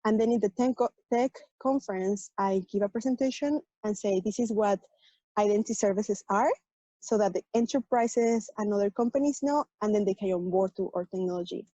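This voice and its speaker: A woman's voice: medium pitch, fairly quick, mellow, no echo. A woman from Mexico with a Mexican accent, Spanish her first language, earnestly explaining her work and story with fillers and restarts. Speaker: tech program manager, panel guest. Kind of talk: personal story on a virtual panel. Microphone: video call. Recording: dull lo-fi video call audio.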